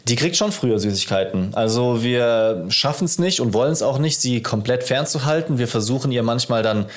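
A man's voice: medium pitch, expressive, normal speed. A man in his thirties talking casually as a podcast host, explaining his thoughts in a reflective, conversational way. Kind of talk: casual chat between two dads.

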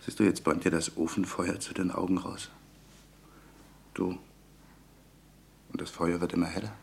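Casting: young wartime resistance man in a radio drama; low, gravelly, hushed, tender and wry. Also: flat delivery, moderate pace. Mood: tender, teasing. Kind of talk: German radio play acting, intimate dialogue and narration. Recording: faint background noise.

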